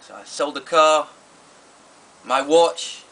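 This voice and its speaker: A wretched, drink-ruined interviewee, acted by a man, heard a little away from the mic. He confesses ruefully in slow, halting phrases that trail off, in a fairly high voice, as if for a mock documentary. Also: sharp tone, recorded from a distance, full character voice. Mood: regretful.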